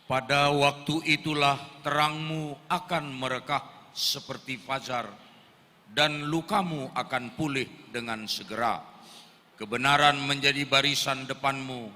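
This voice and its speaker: A man reads scripture in a slow, measured way with a very bright, sharp voice. He sounds far from the mic, and a long reverb hangs in the hall. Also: medium pitch, steady tone. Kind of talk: scripture reading.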